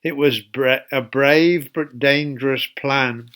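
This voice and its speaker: Slow-speaking man reading a children's story. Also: medium pitch, steady tone, fairly bright tone. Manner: deliberate, addresses young listeners with questions. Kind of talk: read-aloud children's Bible story.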